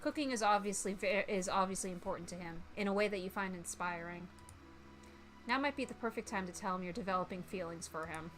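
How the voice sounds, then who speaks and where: A woman streamer doing a playful let's-play, reading game text aloud and reacting with animated exclamations. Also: mid-range voice, a little fast, faint music behind.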